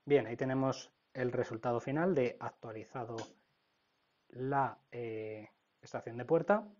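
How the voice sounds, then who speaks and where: A man with a Spanish accent from Spain walks through a software procedure step by step, often checking in with "¿vale?". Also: mid-range voice, unhurried, lo-fi sound. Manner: explanatory, frequent "¿vale?" check-ins. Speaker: product trainer. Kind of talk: screen-recorded software tutorial.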